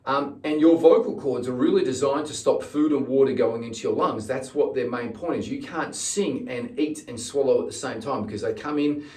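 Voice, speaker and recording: A man's voice: mid-range voice, moderate pace, dark tone. A middle-aged man hosting an informal instructional video as a singing teacher, explaining things with emphasis on key words and frequent repetition. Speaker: singing teacher and channel host. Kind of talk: informal instructional talk to camera. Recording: roomy echo, distant microphone.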